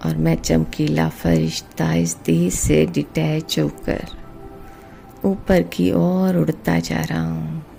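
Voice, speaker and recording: A woman leading a guided meditation in a hushed, unhurried way, with long pauses between phrases. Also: sits low, level tone, creaky. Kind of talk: slow guided meditation commentary. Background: meditation music.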